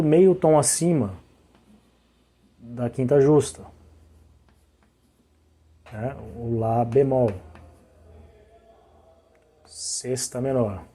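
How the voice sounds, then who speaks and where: A hoarse man teaching musical intervals, singing note names to demonstrate them and checking in often with short questions. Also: a little high, dark tone. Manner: sings interval notes to show them. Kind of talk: sung and spoken music lesson.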